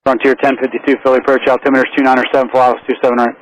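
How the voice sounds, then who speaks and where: A man working as an air traffic controller, giving calm, accommodating, businesslike instructions to pilots over aviation radio. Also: medium pitch, rapid-fire, low-quality recording.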